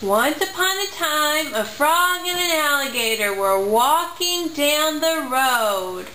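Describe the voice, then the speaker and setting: Slow, bright-voiced woman telling a children's story. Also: sits high, expressive. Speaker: parent narrating a puppet show. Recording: echoey room, faint background noise.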